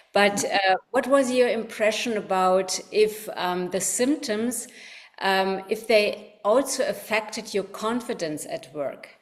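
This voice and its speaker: A woman doctor moderating a webinar, introducing her guest in a measured, formal way. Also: mid-range voice.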